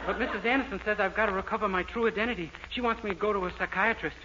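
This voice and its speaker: Guy acting a naive earnest young man, fast talker, 1940s radio comedy, muffled and very noisy recording. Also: high-pitched, close to an everyday voice. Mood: worried, earnest. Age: young adult. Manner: earnest, innocent, literal-minded.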